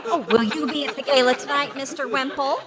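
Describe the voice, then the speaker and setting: A woman playing a patient, dry-witted wife who gently teases, in old-time radio comedy style. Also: sits high, a little fast, over a noisy crowd, natural voice. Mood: polite.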